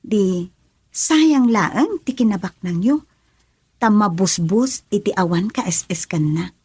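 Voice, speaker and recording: A woman's voice: medium pitch, animated, takes it slowly, a little mellow, slightly rough, no room sound. A woman playing an earnest young student daughter in a radio drama. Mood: reproachful. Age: young adult. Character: young female student daughter, earnest, evasive with her mother. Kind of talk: Ilocano radio drama acting.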